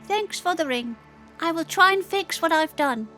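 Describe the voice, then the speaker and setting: A woman's voice: very high voice, expressive, normal speed, sharp tone, heavily acted. A woman voicing a small, earnest young heroine in a fantasy audio drama, speaking politely. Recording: music bed under voice.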